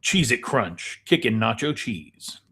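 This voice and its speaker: A man's voice: mid-range voice, sing-song, slow, gravelly, fairly bright tone, slight background noise. Casual male co-host chatting. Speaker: co-host of a chat show. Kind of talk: casual chat, snack and drink tasting.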